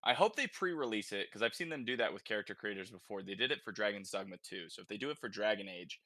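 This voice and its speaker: A man's voice: lowish voice, animated, fast, pushed and bright, no echo. A man hosting a casual gaming podcast, talking informally and thinking aloud as he goes. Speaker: podcast host.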